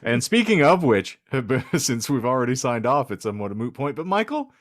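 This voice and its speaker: A man's voice: mid-range voice, big pitch swings, moderate pace. A man hosting a comedy podcast, speaking in a wry, wordy, mock-grandiose way as he banters and signs off. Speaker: podcast host.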